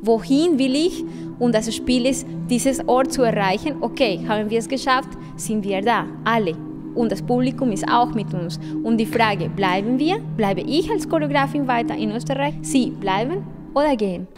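Brief: thirties woman, non-native German, slightly high voice, thoughtful and searching, handheld mic indoors, music bed behind. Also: moderate pace. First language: Spanish. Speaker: choreographer. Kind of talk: documentary interview. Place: indoor hallway by a window. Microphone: handheld foam-covered mic held close.

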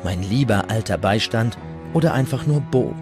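A man's voice: fairly low pitch, animated, moderate pace. A man narrating a historical documentary from a script. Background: music.

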